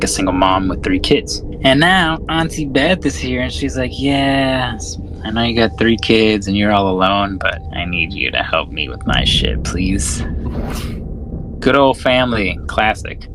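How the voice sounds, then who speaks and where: A man's voice: fairly low pitch, poor audio. A man hosting a podcast, chatting casually about a film in a slangy, jokey way with some swearing. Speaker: podcast host.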